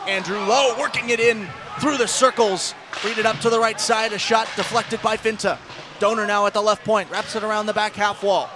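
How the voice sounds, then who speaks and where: A man doing live radio play-by-play commentary of an ice hockey game, describing the action in rapid detail and lifting his voice with the action. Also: high voice, steady tone, noisy background. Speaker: play-by-play sports announcer.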